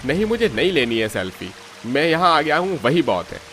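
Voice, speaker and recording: A man voicing a curt, uninterested young husband in an animated family drama, answering dismissively. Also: a little high, a little fast, soft background music. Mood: curt, irritated.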